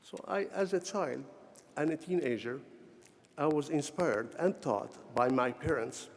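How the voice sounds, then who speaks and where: A middle-aged or older man from Syria with a Syrian Arabic accent gives a formal, prepared ceremonial speech in measured, deliberate short phrases. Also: on the high side. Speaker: honorary doctorate recipient giving convocation address. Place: large convocation hall, speaking to graduates. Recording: echoey large hall with long reverb.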